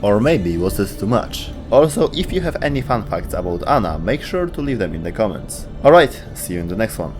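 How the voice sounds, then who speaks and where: Male video narrator, slightly low voice, animated intonation, scripted explainer delivery, light music underneath. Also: a little fast, few pauses.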